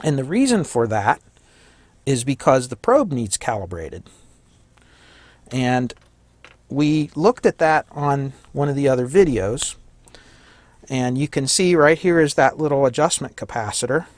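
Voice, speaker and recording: Slightly hoarse man explaining a circuit. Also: medium pitch, animated, measured pace, long pauses, dry recording. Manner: thinks aloud, corrects himself, fillers. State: slightly hoarse, losing his voice. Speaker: electronics hobbyist demonstrating a kit. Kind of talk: casual hands-on tutorial.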